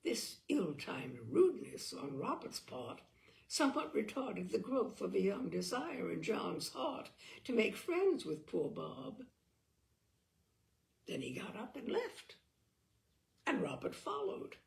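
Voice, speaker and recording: A woman reading a literary short story aloud as an expressive, theatrical storyteller. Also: medium pitch, takes it slowly, vocal fry. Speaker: storyteller, audiobook reader.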